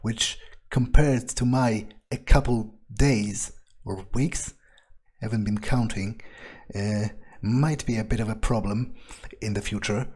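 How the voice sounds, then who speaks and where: A man's voice: on the low side, steady tone, takes it slowly, a little mellow, breathy edge. A man role-playing a reserved fledgling vampire in a video game playthrough, speaking casually and hesitantly as he muses. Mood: musing, wary. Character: reserved, wary male fledgling vampire, thinking aloud.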